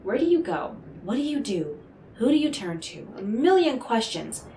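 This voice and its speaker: A woman playing an enigmatic, smooth-talking otherworldly stranger in an audio roleplay, speaking in a coaxing, knowing, theatrical way with dramatic pauses. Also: a little high, big pitch swings. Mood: wry, pressing. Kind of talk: scripted audio roleplay monologue addressed to the listener. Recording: roomy echo, clearly noisy background.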